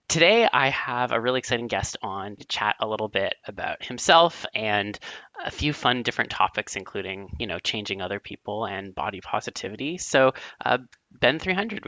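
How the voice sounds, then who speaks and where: A man's voice: lowish voice, bright, forceful tone, dry recording, highs cut off. A man hosting a casual podcast, upbeat and encouraging toward his guest. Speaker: podcast host.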